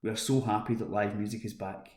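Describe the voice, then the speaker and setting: A man's voice: fairly low pitch, flat delivery, quick pace, a little mellow. A man reading local news aloud as a volunteer newspaper reader, recorded at home. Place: at home, indoors. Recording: roomy, echoing home recording.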